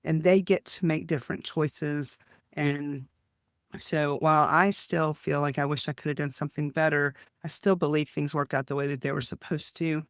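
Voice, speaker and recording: A man's voice: on the high side, steady tone, moderate pace, no room sound, clean studio sound. A man in his fifties with a Texan accent, hosting an interview in an enthusiastic, chatty way. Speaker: host.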